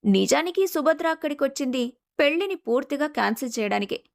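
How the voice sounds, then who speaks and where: A woman's voice: high-pitched, expressive, fast, vocal fry. A woman reading a scripted family story as a dramatic narrator.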